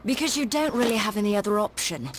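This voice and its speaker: A woman's voice: mid-range voice, animated, fast, a little hiss or hum, close to an everyday voice. An actress playing an earnest, practical woman rebel in a video game, speaking in a firm, matter-of-fact way. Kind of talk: scripted video game dialogue.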